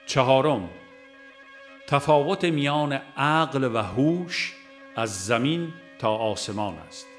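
A man reading a religious audiobook as scripted narration, in a formal, solemn and measured manner with deliberate phrasing. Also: medium pitch, soft background music.

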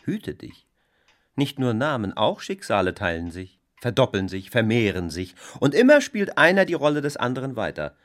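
An actor playing a wounded, reproachful housebound brother in a German radio play, speaking in a grave and portentous way. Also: male voice, medium pitch, very animated, moderate pace, natural voice. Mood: ominous.

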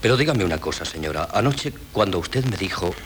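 An actor playing a modest, drily bemused doctor in a 1970s radio play, with deliberate, formal theatrical delivery. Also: male voice, deep voice, expressive. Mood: measured. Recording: noisy 1970s radio recording.

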